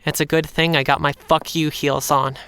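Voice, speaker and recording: A woman playing a wry, defiant working-class heroine, telling her own story deliberately in an audio drama. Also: low voice, level tone, fairly bright tone, slight background noise. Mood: bitter, wry. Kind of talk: first-person audio drama narration.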